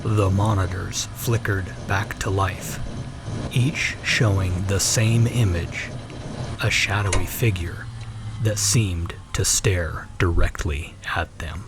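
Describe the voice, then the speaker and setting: Slow, low-voiced male story narrator. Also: steady tone, noisy background. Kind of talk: scripted dramatic story narration. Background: prominent dramatic music.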